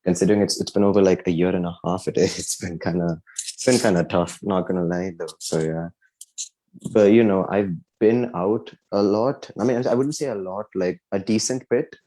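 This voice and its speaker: A man's voice: sits low, moderate pace, warm tone, slight background noise, low-quality recording. A young man from India, a podcast guest chatting casually with many fillers and restarts. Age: young adult. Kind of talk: casual chat.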